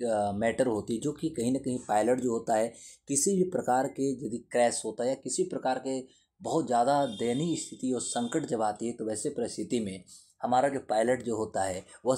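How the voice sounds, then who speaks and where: A man's voice: mid-range voice, warm tone, breathy edge. A man giving an explanatory lesson in a didactic way, repeating and rephrasing his points. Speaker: teacher explaining current affairs.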